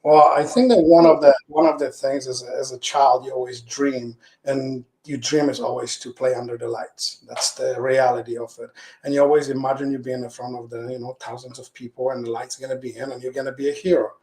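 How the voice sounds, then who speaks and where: Guy telling his story, halting and earnest, sounds roomy and echoey. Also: medium pitch, level tone, a little mellow. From Poland. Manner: earnest, halting, restarts phrases while telling stories. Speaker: interview guest, former pro goalkeeper. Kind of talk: interview answers. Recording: echoey room.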